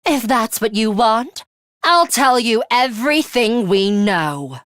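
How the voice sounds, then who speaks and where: A woman voicing a cool, guarded young female fighter in a video game, with a measured, composed and imperious way of speaking. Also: a little high, expressive, a bit bright, dry recording, studio quality. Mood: coolly confrontational. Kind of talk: English video game voice acting, dramatic anime-style dialogue.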